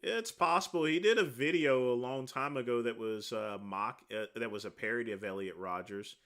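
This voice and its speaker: A man hosting a casual livestream, answering viewer questions one after another in a rambling way, with a deep voice. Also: normal speed, a bit bright. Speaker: livestream host answering chat.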